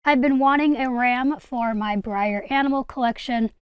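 A woman's voice: a little high, normal speed, clean tone, no room sound. A young adult woman, hosting a casual hobby haul vlog in an enthusiastic, gushing way. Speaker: video host, hobby collector.

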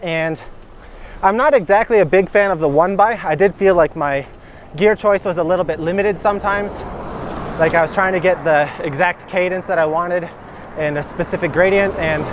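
A man's voice: high voice, normal speed, background noise. An American man talking casually and enthusiastically in an easygoing, informal vlog style while riding a bike outdoors. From Michigan, United States. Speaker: cycling vlogger. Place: outdoors on a gravel country road, riding a bike.

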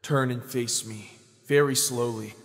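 A man's voice: medium pitch, steady tone, a little slow, close to an everyday voice. A man playing a righteous, wounded young soldier in an anime dub, speaking with accusing intensity. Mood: stern, accusing. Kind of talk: anime fan dub acting. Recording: long reverb over loud music.

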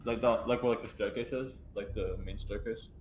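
A man's voice: lowish voice, steady tone, fairly quick, darkish tone, echoey room, a little hiss or hum. A young man playing a horror game with friends, swearing and bossily directing the others. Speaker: co-op player. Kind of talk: casual co-op gameplay chat.

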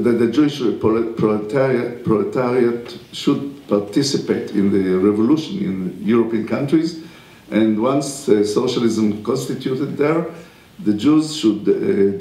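An older man, a native Hebrew speaker, gives a university lecture at a podium mic in a lecture room, speaking haltingly with many pauses and restarts. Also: fairly low pitch, mellow, roomy sound, rough recording. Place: wood-panelled lecture room.

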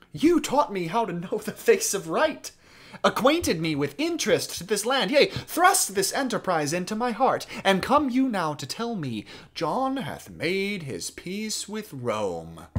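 A man playing a proud, demanding highborn young French prince in a declamatory, insistent Shakespearean stage monologue. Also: a bit bright, exaggerated voice.

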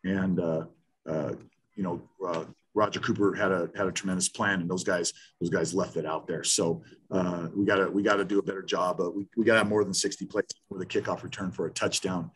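Football head coach, 50s, low voice, blunt, stumbling restarts, video-call mic. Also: male voice, steady tone, husky. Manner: blunt, halting, with many restarts and fillers. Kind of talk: post-game press conference. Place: small room in front of a sponsor backdrop.

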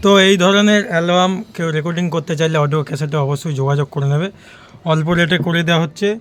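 A man's voice: a little high, steady tone, fast talker, fairly bright tone, faint music behind. A man with a West Bengal Bengali accent giving casual, repetitive sales patter to viewers in an unboxing vlog. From West Bengal, India. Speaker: audio equipment seller and channel host.

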